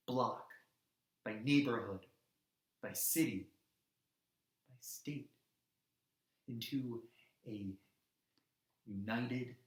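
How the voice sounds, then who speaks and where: A man's voice: fairly high pitch, a bit bright. Man acting an impassioned activist, echoey room. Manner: emphatic, halting delivery in short weighted phrases. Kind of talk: theatrical dramatic monologue.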